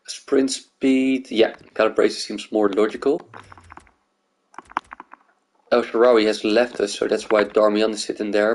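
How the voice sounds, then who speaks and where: A man's voice: fairly low pitch, a little mellow, slight background noise, rough recording. A man from the Netherlands and Greece, hosting a gaming video and thinking aloud in loose, rambling casual commentary.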